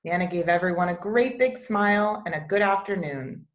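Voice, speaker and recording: A woman reading a children's picture book aloud as a teacher, with expressive storybook narration. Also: lowish voice, normal speed. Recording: echoey, roomy sound.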